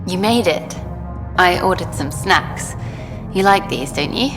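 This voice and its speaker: An actress playing a flirtatious, worldly journalist in a video game, talking in an intimate, teasing way. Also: female voice, on the low side, lively intonation, measured pace. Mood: playful, intimate. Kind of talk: video game dialogue acting. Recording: hall reverb with music behind.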